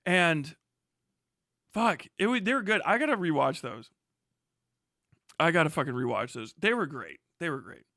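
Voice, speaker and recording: A man in his thirties chatting casually as a livestream host, swearing freely and riffing in a sarcastic, animated way. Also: fairly high pitch, normal speed, long pauses, dry recording, studio quality. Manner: profane, animated, sarcastic ranting.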